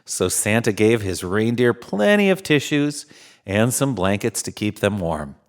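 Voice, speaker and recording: A man narrating a children's storybook theatrically, with drawn-out emphasis and chuckles. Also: fairly low pitch, very animated. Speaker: storyteller in Santa persona.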